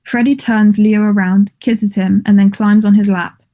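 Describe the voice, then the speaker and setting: A woman with a mellow, mid-pitched voice narrates a play script. She reads out the stage directions for a table read. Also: fairly even intonation, normal speed.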